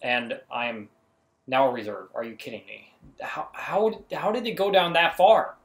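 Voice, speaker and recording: A man's voice: mid-range voice, normal speed. A man giving casual gameplay commentary, talking to himself in exasperation and often repeating phrases. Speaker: gaming video creator.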